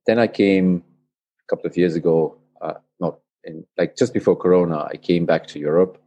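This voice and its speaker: Male guest, forties, deep voice, hesitant storyteller. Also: moderate pace, warm tone, highs cut off. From Austria. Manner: hesitant, with fillers and restarts. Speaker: podcast guest. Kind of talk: casual interview chat.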